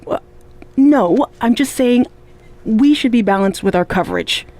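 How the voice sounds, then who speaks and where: A woman's voice: on the high side, expressive, a little slow, darkish tone. A woman playing a casual young sports podcast co-host, slightly exasperated, in a video game cutscene. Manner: casual, conversational.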